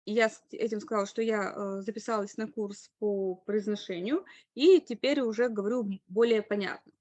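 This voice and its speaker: A woman speaker on a webinar, giving career advice in an explanatory way with frequent pauses and hesitations. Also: mid-range voice, darkish tone, no room sound. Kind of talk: online webinar talk.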